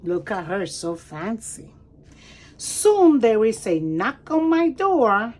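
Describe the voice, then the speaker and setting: A woman reading a picture book aloud to children in an expressive, deliberate way, pausing to explain words and point things out. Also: a little high. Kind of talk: animated children's read-aloud with commentary asides.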